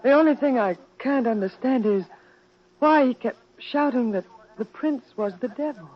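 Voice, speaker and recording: On a muffled old radio recording is a high, breathy female voice. An actress plays a polite young witness, puzzled, in the style of forties radio drama. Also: normal speed, lightly acted. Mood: subdued, puzzled. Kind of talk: 1940s radio drama acting.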